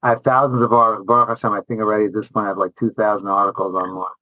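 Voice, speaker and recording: A man's voice: fairly low pitch, flat delivery, very fast, bright, forceful tone, dry recording, low-quality recording. A middle-aged man, a rabbi and teacher answering interview questions conversationally, enthusiastic and emphatic, repeating phrases and often restarting.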